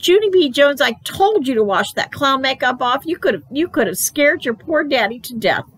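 A woman's voice: on the high side, lively intonation, normal speed, a bit bright, pitched above the performer's own voice. A woman voicing an exasperated mother who scolds her young daughter in a firm, admonishing way. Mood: annoyed.

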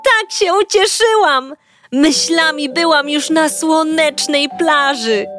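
A woman narrating a children's audiobook as a cheerful young girl, talking brightly and directly to young listeners. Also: high-pitched, slow, over music. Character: cheerful young girl telling her own story. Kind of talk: first-person storybook narration for children.

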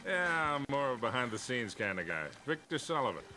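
Game role, played by a man: worldly wisecracking older American male adventurer, lively pitch, music loud behind. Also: a little fast, natural voice. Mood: teasing. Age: middle-aged. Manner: wry, worldly, teasing. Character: gruff, wisecracking older male treasure hunter. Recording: muddy game capture, music over voice.

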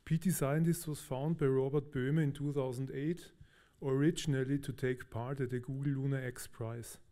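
Man from austria, warm mellow voice, conference speaker, relaxed and hesitant, stage mic in a big hall. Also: mid-range voice, normal speed. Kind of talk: technical conference talk.

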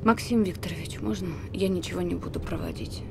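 A woman's voice: on the low side, brisk, creaky, noisy background. A woman in her thirties playing a heartbroken, resigned theatre worker in a naturalistic screen drama. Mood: subdued, reserved.

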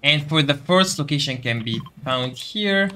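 A man's voice: fairly high pitch, animated, measured pace, sharp tone, slight background noise. A man giving a casual gameplay tutorial, explaining steps directly to viewers. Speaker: gaming video creator giving a quest guide.

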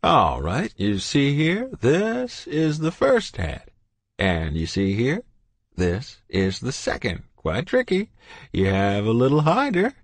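A man voicing a kindly, cheerful older male doctor who speaks gently and slowly. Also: medium pitch, wildly swooping pitch, dry recording, pitched above the performer's own voice, full character voice. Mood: hesitant. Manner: gentle, unhurried, pleased.

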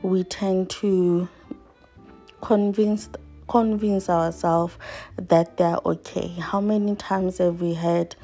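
A woman in her thirties talks to her viewers in a slow, unhurried way, her voice mellow and low. She sounds earnest and reflective as she does chores in her home kitchen, picked up by a camera across the room, with soft music faintly underneath. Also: steady tone. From South Africa. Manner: earnest, reflective, speaks while washing dishes. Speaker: vlogger. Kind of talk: casual chat to viewers while doing chores.

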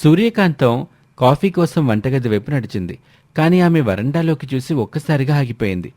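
Casting: male narrator for scripted moral stories, mellow tone, mid pitch, dry studio-like sound. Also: expressive, normal speed, no echo.

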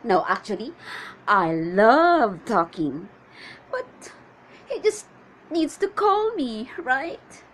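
A woman performing a comedic monologue as a needy, chatty woman waiting on a suitor, with theatrical exasperation and drawn-out questions. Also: fairly high pitch, big pitch swings, a bit bright, slight background noise, exaggerated voice. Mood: chatty, exasperated.

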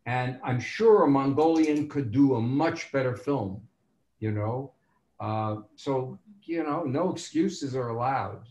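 A man's voice: medium pitch, slow, a little mellow. Older man, frank and rambling, roomy echo. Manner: rambling, frank, with self-corrections and chuckles. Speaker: filmmaker panelist. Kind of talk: casual panel discussion. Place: home room on a video call. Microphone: laptop webcam mic on video call. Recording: roomy echo on a video call.

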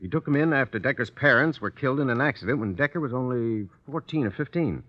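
An actor playing a brisk, matter-of-fact homicide lieutenant in a 1950s radio drama. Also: male voice, mid-range voice, lively intonation, lightly acted. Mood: explaining. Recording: dull, lo-fi 1950s radio recording.

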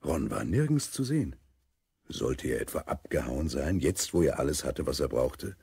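A man's voice: a bass voice, big pitch swings, normal speed, gravelly, dark tone, slightly rough, dry recording, studio quality. A man narrating a German children's audio drama as a scripted storyteller.

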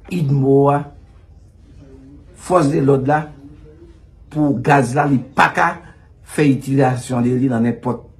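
A man in his fifties with a Haitian accent, answering interview questions as an official in an emphatic, deliberate, repetitive way over a video call. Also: mid-range voice, darkish tone. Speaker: police official being interviewed. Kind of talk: interview answers mixing Haitian Creole and French.

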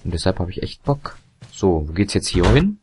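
A young man with a very deep, slightly hoarse voice chats his way through a video game. He rambles, corrects himself and fills gaps with ums and chuckles. A faint hiss sits behind his desk mic. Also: sing-song, normal speed, darkish tone. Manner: rambling, chatty, self-correcting with frequent fillers and laughs. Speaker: let's play commentator. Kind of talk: casual gameplay commentary.

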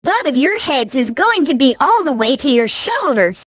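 A woman's voice: high voice, big pitch swings, moderate pace, no room sound, clean studio sound. A woman voicing a smug, sassy cartoon hedgehog girl. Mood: threatening. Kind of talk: cartoon character dialogue.

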